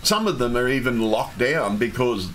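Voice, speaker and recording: A man, hoarse and losing his voice, ranting in an agitated, repetitive livestream monologue with some swearing. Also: medium pitch, animated, moderate pace, fairly bright tone, rough recording. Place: home room with fish tank. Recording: faint background hum from fish tank.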